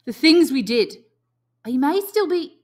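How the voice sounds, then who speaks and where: A woman's voice: high voice, animated, a little slow, darkish tone, pitched above the performer's own voice. A woman voicing a troubled, guilt-stricken boy leader in an audiobook, with a grave manner. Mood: anguished.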